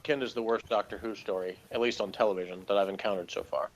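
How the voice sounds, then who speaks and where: A man's voice: lowish voice, fairly even intonation, quick pace, a little hiss or hum, rough recording. A man giving a casual, opinionated review in conversation, blunt and rambling in his criticism. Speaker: co-host of an episode review show.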